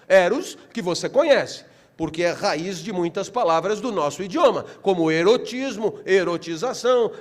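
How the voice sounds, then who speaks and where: A middle-aged Brazilian man giving a philosophy lecture with theatrical flair, asking rhetorical questions and repeating phrases for emphasis. He speaks in slow, deliberate phrases that build to loud, animated peaks. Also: sits high. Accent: Brazilian Portuguese.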